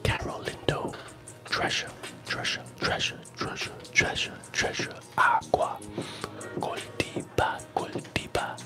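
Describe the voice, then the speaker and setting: Man, ASMR streamer, often whispered, close-miked, playful repetition of words, music behind. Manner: slow, repeats words and phrases, playful. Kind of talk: ASMR live stream, whispered trigger words and casual chat. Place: small room at home with gaming screen. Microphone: close handheld recorder on stand with foam windscreen.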